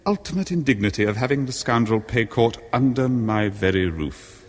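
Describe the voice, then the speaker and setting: An actor playing a bitter, pompous ageing English husband in a radio horror drama, speaking in florid, scornful, theatrically precise phrases. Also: male voice, medium pitch, lively intonation, normal speed, natural voice. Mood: bitter indignation. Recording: long reverberant echo on 1970s radio recording.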